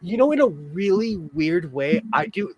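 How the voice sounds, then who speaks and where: A man's voice: high voice, sing-song, unhurried, crowd noise, rough recording. A middle-aged American man hosting a casual sewing stream, chatty and self-deprecating, laughing often. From United States. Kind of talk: casual chat. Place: home, live stream.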